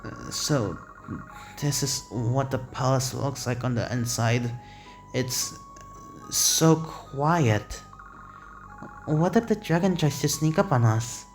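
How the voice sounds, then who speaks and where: An actor voicing an anxious young cookie adventurer, hesitant and earnest, in an animated fantasy fandub. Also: mid-range voice, slow. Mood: nervous, hushed. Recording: voice over background music.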